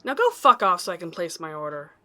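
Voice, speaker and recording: A woman playing a bold, sharp-tongued mystery woman in an audio drama, blunt and self-possessed. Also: fairly low pitch, big pitch swings, fast, full character voice. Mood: mocking.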